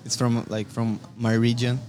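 A man in his twenties from Brazil, speaking English with a Brazilian accent as a non-native speaker, answering interview questions in a friendly, halting way. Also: mid-range voice, monotone, background noise. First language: Portuguese. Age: 20s. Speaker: guest soccer player. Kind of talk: casual interview. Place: brewery.

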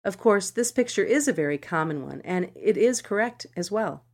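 A woman podcast host reading scripted meditation guidance in a measured, instructional manner. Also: mid-range voice, animated. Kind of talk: scripted narration of a meditation article.

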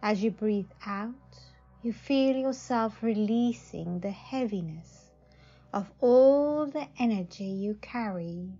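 Woman doing guided meditation, very slow and soothing, bit muffled audio, soft music behind. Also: medium pitch, lively intonation. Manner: slow, soothing, with long pauses. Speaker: psychic advisor, meditation guide. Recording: slightly dull audio. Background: faint music.

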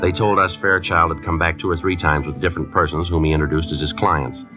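Man playing a very deep-voiced, deadpan American detective. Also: fast talker, lightly acted. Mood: flat, matter-of-fact. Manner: deadpan, matter-of-fact. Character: terse, businesslike male police detective. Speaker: detective and narrator. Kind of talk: 1950s radio drama acting, clipped narration. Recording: 1950s radio recording with music.

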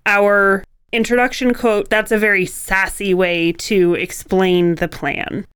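A woman speaks in a smooth, clear voice as a podcast co-host. Her delivery is conversational and explanatory, and the sound is close and dry, with hardly any room in it. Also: mid-range voice, steady tone, takes it slowly, a bit bright, dry recording. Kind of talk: conversational podcast discussion of writing craft.

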